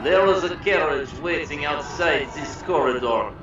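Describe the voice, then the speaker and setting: A man's voice: a little high, natural voice. A man playing a cold, commanding prison guard in an audio drama, drawing out menacing orders. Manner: commanding, drawn-out delivery. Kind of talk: audio drama villain acting. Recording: very echoey, distant, muffled, noisy ambience.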